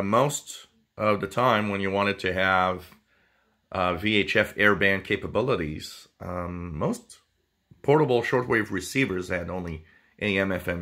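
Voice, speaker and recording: Low-pitched man, bright voice, casual presenter. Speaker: amateur radio video presenter. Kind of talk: casual product talk, unscripted.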